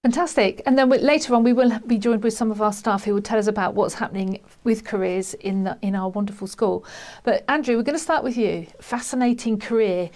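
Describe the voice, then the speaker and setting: A woman's voice: on the high side, brisk, few pauses, a little mellow. A woman hosting a panel, asking conversational questions in an informal, encouraging way. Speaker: host, school principal.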